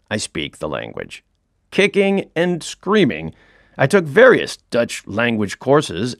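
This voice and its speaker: A man's voice: mid-range voice, no room sound, studio quality. A middle-aged man reading his own comic audiobook in a wry, self-mocking storytelling manner.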